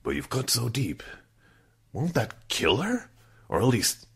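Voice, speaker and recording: Guy acting as doubting lab worker, really husky, lively up and down voice, horror radio play. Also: mid-range voice, unhurried, heavily acted. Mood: doubtful, concerned. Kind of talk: horror audio drama acting.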